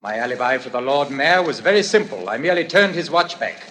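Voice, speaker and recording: An actor in a 1940s radio drama playing a volatile stage actor with a clipped, theatrical delivery. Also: male voice, medium pitch, expressive, fast, pushed and bright. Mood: menacing. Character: weary, volatile stage actor who plays a menacing strangler. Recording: noisy old radio recording.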